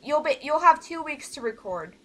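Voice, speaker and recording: A woman's voice: sits high, sing-song, moderate pace, pushed and bright. A woman leading a casual online group meeting, talking informally through instructions step by step. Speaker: organizer leading a voice project meeting.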